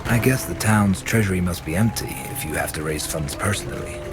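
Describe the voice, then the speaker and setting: Deep-voiced, stern medieval inquisitor. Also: male voice, expressive, a little fast, over music. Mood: dry, sardonic. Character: stern, sardonic male inquisitor in a grim medieval fantasy. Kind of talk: video game voice acting.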